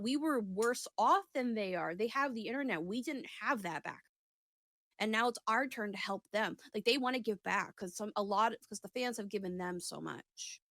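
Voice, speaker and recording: A woman's voice: medium pitch, fairly bright tone, no room sound, studio quality. A woman giving a casual intuitive reading in conversation, speaking tentatively and often rephrasing herself as she goes. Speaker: psychic reader on a talk show.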